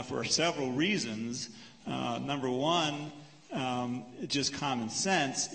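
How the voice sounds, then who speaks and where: A man moderating a lecture Q&A, paraphrasing a question and answering it in a measured, explanatory way, counting off his points. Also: mid-range voice, a bit bright. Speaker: panel moderator. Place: lecture hall. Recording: long hall reverb.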